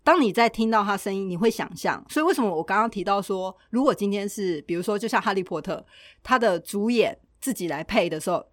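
A woman's voice: medium pitch, expressive, fast talker, no room sound. A woman with a Taiwanese Mandarin accent co-hosting a casual chat, curious and engaged, readily chiming in. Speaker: podcast co-host.

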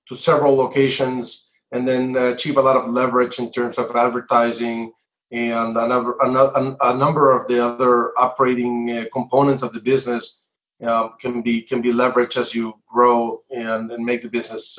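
Middle aged guy from colombia being interviewed, hesitant measured talk, mid pitch, roomy echo. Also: completely flat delivery, a bit bright. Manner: halting, measured, frequent hesitations. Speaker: interview guest, entrepreneur. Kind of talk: conversational interview answers. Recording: echoey, roomy sound.

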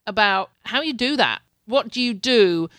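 A woman's voice: on the high side, expressive, a little slow, a bit bright, dry recording. A woman hosting a casual interview, talking in a chatty way with frequent repeated words and light laughs. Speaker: podcast host. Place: home in Canada.

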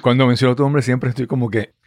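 A man's voice: mid-range voice, fairly even intonation, fast, darkish tone, no echo, studio quality. A man hosting a podcast interview, talking conversationally with frequent fillers and restarts. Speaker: podcast host.

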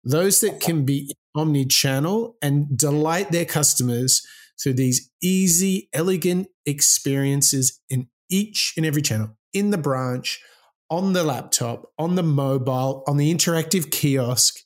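Podcast host, mid-pitched, thoughtful, builds on guest's points. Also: measured pace. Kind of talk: casual interview talk.

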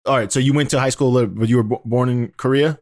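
A man's voice: medium pitch, fairly even intonation, fast talker, a little mellow, dry recording, studio quality. Upbeat male podcast host. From Washington D.C. area. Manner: upbeat, laughs often. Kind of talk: casual interview chat.

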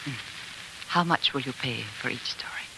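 An actress playing a devoted, determined politician's wife in an earnest, articulate 1940s radio drama style. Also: female voice, extremely low pitch, normal speed, background noise. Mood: polite, determined. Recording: vintage 1940s radio recording.

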